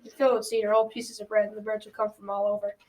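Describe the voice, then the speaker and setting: A woman's voice: medium pitch, level tone, darkish tone, natural voice. A young girl playing a kindly, folksy old grandfather in a school radio drama. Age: child or teen. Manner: folksy, measured advice-giving. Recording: slightly distant school recording.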